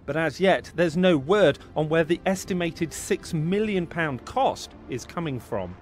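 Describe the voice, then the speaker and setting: A man narrating a news report as the reporter, inquisitive and lively. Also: fairly high pitch, a little slow, soft background music.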